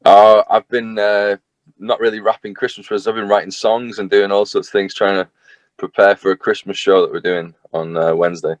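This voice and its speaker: Young northern english man, very deep voice, dry close sound, chatty and rambling. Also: little pitch movement, fairly quick, no room sound. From north of England. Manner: rambling, self-deprecating, chatty with many fillers. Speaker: singer-songwriter guest. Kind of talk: casual interview chat.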